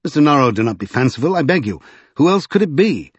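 An eager, brisk gentleman magician from a storybook, acted by a male narrator. His pitch is lifted and slightly husky, his speech emphatic and persuasive. The sound is a little dull. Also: big pitch swings, no room sound. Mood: triumphant, impatient.

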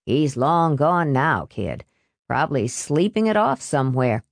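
A woman voicing an easygoing, kindly popcorn seller in an audiobook, a man with a slow, consoling manner. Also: deep voice, sing-song, no room sound, clean studio sound, voice pushed down, full character voice. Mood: kindly, resigned.

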